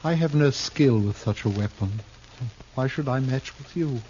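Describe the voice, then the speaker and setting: An actor playing a tormented outcast stage actor in an old radio western, speaking with halting, florid Shakespearean diction, bitter and desperate. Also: male voice, mid-range voice, mellow, lightly acted. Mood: desperate, defiant. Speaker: actor playing a cornered fugitive. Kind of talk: 1950s old-time radio drama acting, theatrical. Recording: muffled old radio recording, faint hiss.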